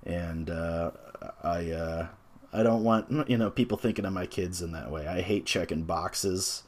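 A man around forty, a tabletop game designer, talking in a casual interview in a reflective, hesitant way with many pauses and fillers. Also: low voice. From North America.

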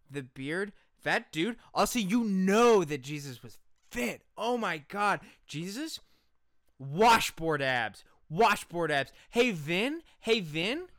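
A man's voice: on the high side, bright, forceful tone, no echo, clean studio sound. A man co-hosting a podcast with casual comedic banter, swearing freely and stressing his words like a rant. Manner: profane, emphatic, ranting delivery. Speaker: podcast co-host.

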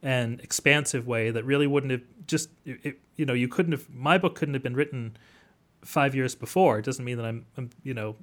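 A man speaking as an academic guest in an interview, explaining at length in a halting, thoughtful way. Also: mid-range voice. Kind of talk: interview conversation.

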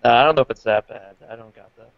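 A man appearing as a podcast guest, giving short, low-key answers. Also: fast, gravelly, dull audio. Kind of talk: casual podcast chat.

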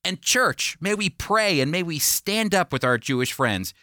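Bright-voiced American man teaching on radio. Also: a little high, expressive, measured pace. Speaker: radio host and Bible teacher. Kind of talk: radio Bible teaching, conversational.

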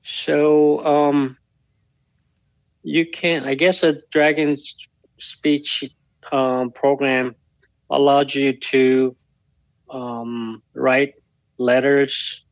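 A middle-aged man whose first language is Korean, answering interview questions slowly with many pauses and fillers. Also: medium pitch, flat delivery, vocal fry, no echo, highs cut off. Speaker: guest. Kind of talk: podcast interview.

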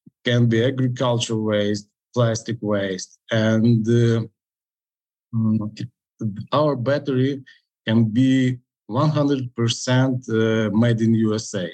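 A man with a Ukrainian accent speaks at a slow, measured pace, searching for words between long pauses and fillers. His pitch sits in a middle range for a man, and he answers like a founder talking up his product. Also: steady tone, darkish tone, low-quality recording. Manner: halting, many pauses and fillers. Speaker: company founder, guest. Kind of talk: interview answers.

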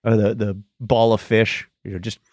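A man co-hosting a podcast, chatting casually and recounting things in a rambling, animated way. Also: lowish voice, moderate pace, no echo, studio quality. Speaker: podcast co-host. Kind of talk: casual podcast chat, recounting a nature documentary.

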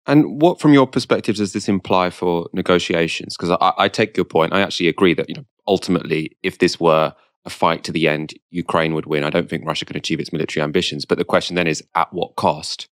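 A man interviewing a guest in a conversational way, putting measured, probing questions. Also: deep voice, dry recording, clean studio sound.

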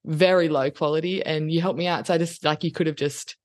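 A woman's voice: low voice, steady tone, brisk. A woman with a thick Australian accent, a podcast guest who talks in a friendly, giggly, eager way. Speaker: guest, occupational therapist and podcaster. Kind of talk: casual podcast interview.

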